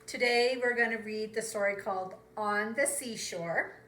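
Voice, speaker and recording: A woman preschool teacher telling a story to young children in an expressive way, with simple phrasing and direct questions. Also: mid-range voice, moderate pace, slight background noise.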